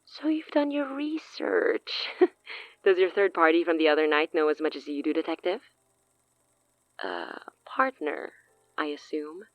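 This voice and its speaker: A woman's voice: low-pitched, animated, measured pace, no echo, dull audio. A woman playing a smug, menacing sorceress in an audio drama, theatrical and taunting with amused condescension. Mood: coolly probing.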